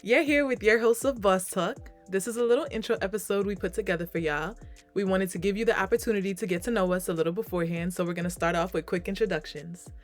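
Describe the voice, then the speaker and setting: A woman's voice: mid-range voice, fast talker, soft background music. A woman in her twenties from Queens, New York, hosting a casual group podcast in an upbeat, playful way. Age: 20s. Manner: upbeat, playful, leads the talk. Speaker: podcast host.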